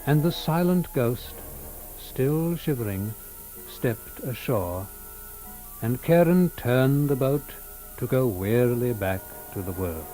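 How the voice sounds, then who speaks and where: A man giving a dramatic literary narration of a story in a solemn, measured manner. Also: mid-range voice, lively intonation, warm tone, faint music behind, poor audio.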